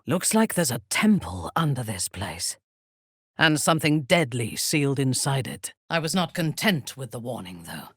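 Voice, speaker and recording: A woman playing a haughty, menacing female warrior and former cultist in a video game, speaking in formal, imperious, disdainful phrases with a husky, breathy voice. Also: low voice, fairly bright tone, dry recording, studio quality, full character voice. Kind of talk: video game character voice lines.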